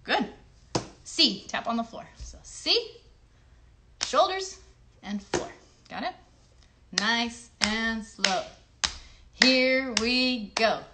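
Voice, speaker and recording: A woman's voice: on the high side, lively intonation, extremely slow, pauses often. A woman hosting a children's show, giving playful, encouraging instructions straight to young viewers. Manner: encouraging, talks directly to children. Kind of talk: playful instruction and singalong for kids. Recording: clear background noise on livestream.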